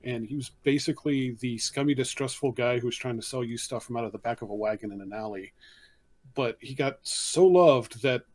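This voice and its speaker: Mid-pitched man recounting gaming stories. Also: a little fast, a little mellow. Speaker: panelist, game master. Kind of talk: casual anecdotal chat.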